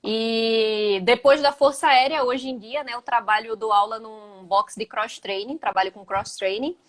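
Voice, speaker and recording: A woman's voice: on the high side, steady tone, normal speed, fairly bright tone. Woman with a Gaúcho lilt, chatty. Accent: Gaúcho (southern Brazilian) Portuguese. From Northeast Brazil. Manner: conversational, many "né" tags. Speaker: guest, physical education teacher. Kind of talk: casual live chat. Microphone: video call.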